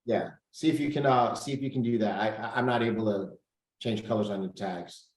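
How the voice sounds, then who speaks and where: A man's voice: fairly low pitch, fairly quick, roomy sound. A man hosting a casual group coaching call over video, upbeat, thinking aloud and reading out figures. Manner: upbeat, reads out numbers, thinks aloud while clicking.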